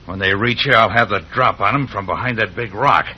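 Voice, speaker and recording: An actor playing a ruthless, gloating western villain who barks menacing orders in an old radio drama. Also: male voice, on the low side, a little fast, lo-fi sound, a little hiss or hum. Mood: gloating menace. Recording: sharp, grainy old radio recording.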